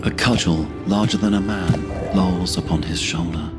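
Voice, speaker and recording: A man narrating scripted fantasy as a storyteller in a solemn, measured manner. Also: deep voice, loud music behind the voice.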